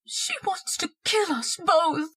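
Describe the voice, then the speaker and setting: A domineering wife in an old-time radio horror play. Her voice is very high and swings dramatically. She scolds and orders people about with histrionic, haunted urgency. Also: huge pitch swings, takes it slowly, pushed and bright, heavily acted. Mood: hushed dread. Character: shrill domineering wife, frightened by a ghostly intruder.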